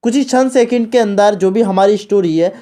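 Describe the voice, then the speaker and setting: A man's voice: high voice, brisk, mellow. A man hosting an informal, step-by-step screen tutorial. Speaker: tutorial host.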